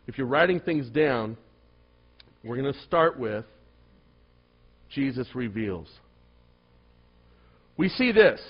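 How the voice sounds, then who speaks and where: Soft-spoken middle-aged pastor guy telling a story in a sermon, a bit high, muffled recording. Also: slightly rough. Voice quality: soft-voiced. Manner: storytelling, emphatic swings from loud to hushed.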